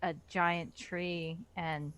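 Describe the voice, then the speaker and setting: A woman co-hosting in casual banter, with a dry, brisk, teasing manner. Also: on the low side, level tone, a bit bright. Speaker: co-host.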